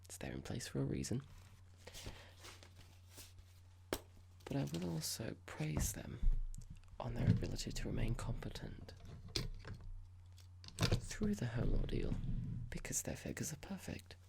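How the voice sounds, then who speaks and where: A performer playing a horrible, condescending young corporate boss in an ASMR roleplay, speaking in a breathy whisper with a measured, pompous, formal manner. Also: mid-range voice, huge pitch swings, heavily acted. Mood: smug, stern. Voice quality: breathy, gravelly whisper with clicks.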